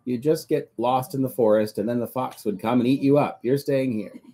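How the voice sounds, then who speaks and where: A man's voice: mid-range voice, steady tone, a little fast, dark tone, husky, pitched above the performer's own voice, natural voice. A man voicing a patient, kindly old farmer in a storybook reading. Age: older. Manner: patient, a little fussy.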